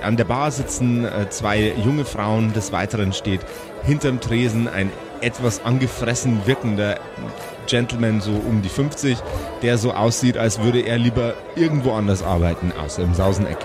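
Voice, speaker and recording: A man's voice: fairly low pitch, normal speed, music in the background. A man narrating an improvised pen-and-paper audio play as game master.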